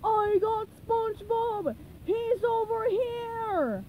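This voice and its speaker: A woman's voice: high voice, big pitch swings, a little slow, muffled recording, a little hiss or hum. A woman voicing a dim, impulsive male cartoon starfish with a blunt, blurting delivery in a storybook reading. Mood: loud, yelling.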